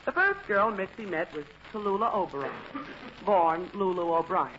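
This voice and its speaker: A woman's voice: lowish voice, expressive, moderate pace. A woman giving scripted, wry and playful comic narration for a vintage radio show. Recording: muffled, noisy old radio recording.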